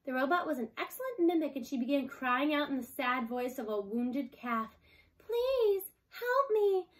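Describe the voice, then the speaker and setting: Higher-voiced woman reading a storybook. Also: a little fast, a bit bright. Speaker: storybook reader. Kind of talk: expressive children's book read-aloud.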